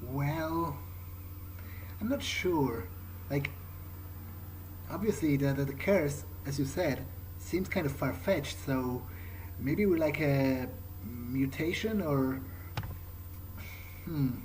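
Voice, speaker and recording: A man improvising in character as he plays a video game, conversational, halting and thinking aloud. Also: fairly high pitch, a little mellow, a little hiss or hum, low-quality recording. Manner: hesitant, musing, halting. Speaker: gamer playing in character.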